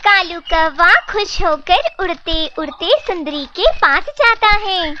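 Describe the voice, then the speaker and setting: Woman narrator for kids' animated tale: piping high, unhurried, noticeably noisy track. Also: highs cut off. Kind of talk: children's cartoon story narration in Hindi. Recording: very noisy, with clicks.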